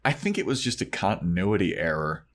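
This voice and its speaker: A man's voice: low-pitched, very animated, brisk, a bit bright. A man co-hosting a podcast, casually recapping a story in an informal, emphatic way. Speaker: podcast co-host.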